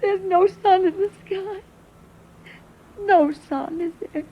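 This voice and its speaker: A woman playing a distraught, delusional mother in an old-time radio drama, halting and dreamy. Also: very high voice, a little hiss or hum. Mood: grieving, longing. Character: distraught, delusional mother longing for the lost sun. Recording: dull vintage radio broadcast.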